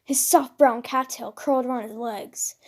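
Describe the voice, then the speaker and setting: A woman's voice: a little high, fairly even intonation, moderate pace. A woman narrating a comedic fan fiction story in a dramatic storytelling style. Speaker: fan fiction writer and reader.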